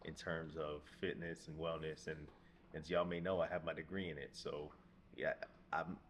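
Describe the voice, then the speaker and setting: A man in his mid-twenties, talking casually to camera as a vlogger, rambling and repeating himself in a relaxed way. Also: rumbling low, moderate pace. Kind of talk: casual vlog talk to camera. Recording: echoey room with background noise.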